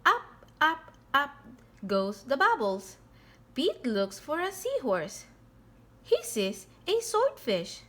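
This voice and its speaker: A woman reading a picture book aloud to young children in an expressive style, with theatrical emphasis on key words. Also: high voice, slow pace. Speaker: children's storybook reader.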